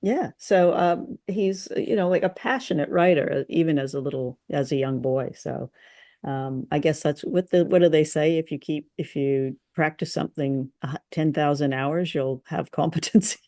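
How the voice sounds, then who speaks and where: Medium pitch, dry sound, casual co-host, chatty, playful, slightly tentative. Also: moderate pace, warm tone, no echo. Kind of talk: casual chat, sharing trivia.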